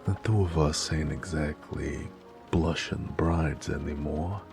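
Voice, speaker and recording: A man's voice: extremely low pitch, takes it slowly, gravelly, exaggerated voice. A man playing a flirty, cocky mafia wheelman and former cabbie in a noir audio roleplay, with an American accent and a teasing, slangy tough-guy drawl. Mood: playful, coy. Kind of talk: romantic noir audio roleplay, intimate one-sided dialogue. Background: music.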